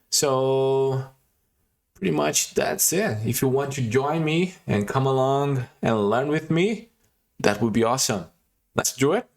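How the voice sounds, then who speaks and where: A young man from Brazil with a Brazilian Portuguese accent, casually walking through a coding tutorial with frequent fillers and restarts. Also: mid-range voice. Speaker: tutorial host.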